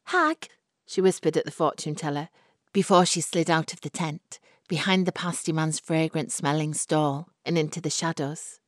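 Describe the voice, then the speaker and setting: A woman narrates a short story in a low voice, recorded close and dry. Her delivery is scripted, measured and expressive, shaped for literary storytelling. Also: no echo.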